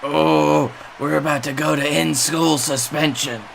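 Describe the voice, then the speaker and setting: A man's voice: a little high, steady tone, measured pace, creaky, a crowd in the background. A man giving comic color commentary on wrestling as a co-host, wry and mock-dramatic in manner.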